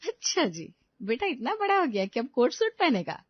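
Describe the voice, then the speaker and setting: Woman voicing an indulgent Indian mother, fairly high. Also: very animated, normal speed, dry recording, highs cut off. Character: devoted middle-aged Indian mother and housewife, indulgent.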